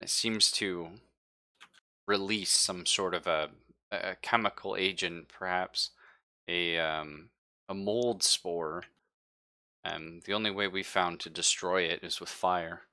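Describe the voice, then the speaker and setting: A man's voice: sits low, fairly even intonation, slow, pushed and bright. A man talking his way through an improvised tabletop roleplaying session.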